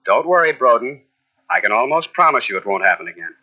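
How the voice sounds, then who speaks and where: A man's voice: medium pitch, big pitch swings, fast, soft background music. An actor playing a nervous, evasive newspaperman in a 1940s radio drama, hesitant and stammering. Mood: uneasy. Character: jumpy, evasive newspaperman husband with a guilty secret. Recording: muffled old radio tape.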